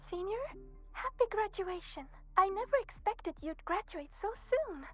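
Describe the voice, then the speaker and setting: A woman's voice: very high voice, expressive, normal speed, highs cut off. An actress voicing a friendly, eager young female student in a video game, chatty, playful and sincere. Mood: playful, teasing. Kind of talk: scripted video game voice acting. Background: background music.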